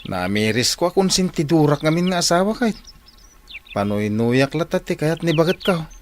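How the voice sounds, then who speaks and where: An actor playing a polite, conciliatory husband and neighbor in an Ilocano radio drama, speaking in a measured way. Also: male voice, on the high side, very animated, slight background noise.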